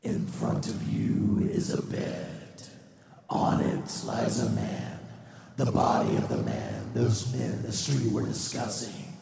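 Solemn spirit of Christmas future: very creaky, low, echoing stage radio drama. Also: male voice, big pitch swings, noisy background, exaggerated voice. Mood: ominous, solemn. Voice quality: gravelly, breathy low voice. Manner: slow, solemn, commanding. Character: grave, ominous ghost of Christmas future. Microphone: handheld-type stage mic on stand, close. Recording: echoey theatre stage.

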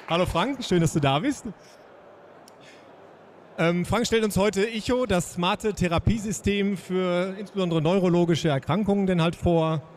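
Hesitant fair host, handheld mic. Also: male voice, sits high, unhurried, pauses often, a faint crowd, a little hiss or hum. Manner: friendly, hesitant, many fillers, chuckles. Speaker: session host. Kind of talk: moderating a trade fair session. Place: trade fair booth indoors.

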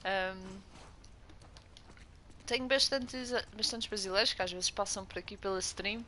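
A woman's voice: medium pitch, measured pace, bright, forceful tone, a little hiss or hum. A young woman from Portugal with a strong European Portuguese accent, streaming a game and chatting with viewers. She gets jumpy and swears when startled. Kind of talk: casual gameplay commentary.

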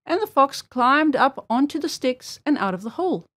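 Animated woman reading a children's story. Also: high-pitched, moderate pace. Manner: expressive, storybook phrasing. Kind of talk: children's story read aloud.

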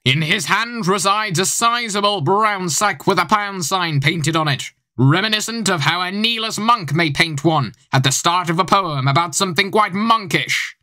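A teenage boy, narrating a scripted comic tale in a theatrical, deliberate manner. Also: high voice, sharp tone, dry recording, clean studio sound. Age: teens.

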